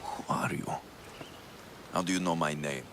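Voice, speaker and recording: An actor playing a young, sardonic mercenary in a video game, dry and gruff. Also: male voice, rumbling low, steady tone, moderate pace, a bit bright, slight background noise. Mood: suspicious. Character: weary, sardonic young male Greek mercenary. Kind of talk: video game cutscene acting.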